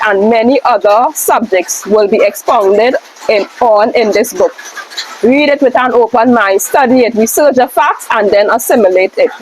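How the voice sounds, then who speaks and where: Female reader, slightly high voice, formal question-and-answer book reading, halting in places, light room ambience behind. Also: darkish tone, slight background noise, poor audio.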